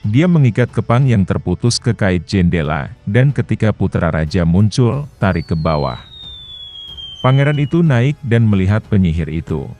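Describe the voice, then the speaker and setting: A man narrating a children's fairy tale in a calm, even storybook reading style. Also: fairly low pitch, moderate pace, faint music behind. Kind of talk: scripted bedtime story narration.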